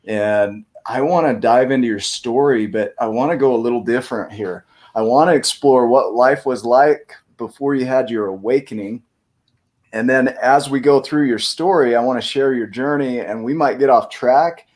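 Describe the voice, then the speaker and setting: A man's voice: mid-range voice, normal speed, darkish tone. A man hosting a casual interview show, easygoing and upbeat, rambling a little as he leads in. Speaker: host.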